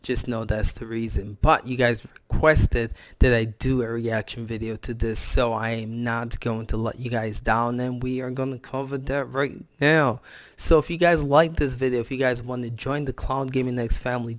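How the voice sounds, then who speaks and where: A man hosting a gaming reaction video, hoarse from a cold, talking in a blunt, slangy, casual way. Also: mid-range voice, fairly even intonation, moderate pace, dry recording. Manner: blunt, slangy, scolding the other creator. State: hoarse from a cold and sore throat. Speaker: reaction channel host.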